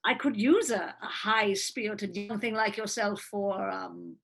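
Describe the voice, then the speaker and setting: A woman's voice: medium pitch, unhurried, a bit bright. A woman playing a gloating, cackling villainous hospital doctor in a script reading.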